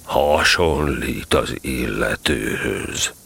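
A man voicing a gloomy old grey donkey in a storybook, with a gravelly, husky voice and a slow, mournful, brooding delivery. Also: very deep voice, a bit bright, voice pushed down, heavily acted. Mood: melancholy, resigned.

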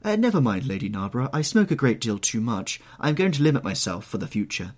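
A man's voice: medium pitch, very animated, fast, pitched above the performer's own voice. A man voicing a polite society lady in an audiobook.

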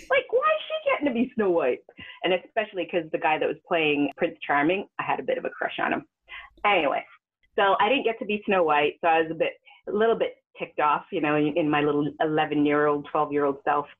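A woman who grew up in Western Canada, telling stories as a guest performer in a casual interview, laughing often and jumping up high when she quotes people. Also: husky. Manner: animated, laughs often, jumps into high pitch for quoted voices. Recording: phone-like narrow sound.

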